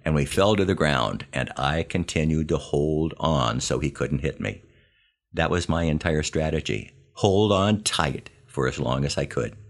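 An older American man tells scripted stories from his own life. His voice sits extremely low and has a heavy, gravelly creak. He delivers it with wry self-deprecation, leaving deliberate pauses for emphasis. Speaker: podcast host and storyteller. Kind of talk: scripted humorous memoir narration.

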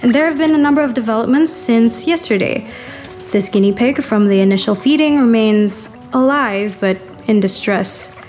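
Woman acting an eager, higher-voiced lab assistant. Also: darkish tone, lightly acted. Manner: probing, pressing with questions. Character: eager, inquisitive young female lab assistant. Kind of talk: full-cast audio drama acting.

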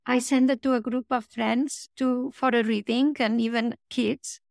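A woman's voice: a little high, steady tone, unhurried, gravelly, a little mellow, no echo, studio quality. A woman from Spain, a children's author being interviewed, talking hesitantly with frequent restarts. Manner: hesitant, restarts often, light laughs. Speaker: children's book author, guest. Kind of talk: podcast interview.

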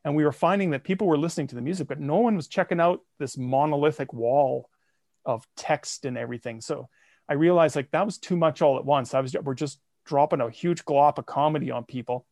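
A man guesting on a podcast, telling the story of his projects in a lively, self-mocking way. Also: fairly high pitch, brisk, dry recording, studio quality. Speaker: guest, comedy podcaster and songwriter. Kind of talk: casual interview.

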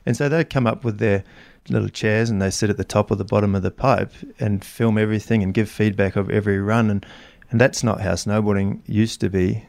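Man, podcast guest, gravelly low voice, hesitant with many restarts. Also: fairly even intonation, fairly quick, hardly any pauses, darkish tone, no echo. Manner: hesitant, full of restarts and "I mean". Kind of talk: casual chat.

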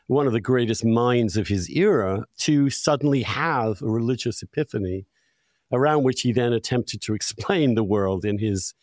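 A man hosting an interview, putting long, discursive questions in a deliberate, thoughtful way. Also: mid-range voice, darkish tone, dry recording, clean studio sound. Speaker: podcast host, interviewer.

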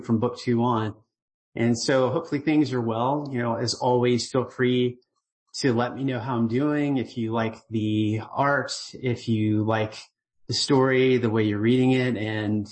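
A middle-aged man, the writer of a newsletter, gives a casual voicemail-style update, relaxed and earnest, rambling with fillers and restarts. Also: medium pitch, rough recording. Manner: grateful and earnest.

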